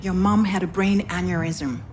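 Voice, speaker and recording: An actress playing a serious, formal woman doctor in a visual novel game, speaking in a measured, grave manner. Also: on the low side, fairly even intonation, darkish tone, slight background noise, lightly acted.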